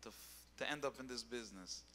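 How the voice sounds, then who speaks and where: Young man, singer as guest, bright tone, rambling delivery, handheld mic in a reverberant hall. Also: medium pitch, quick pace, a little hiss or hum. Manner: rambling, repeats words while searching. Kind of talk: casual interview on stage. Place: dark stage with keyboard.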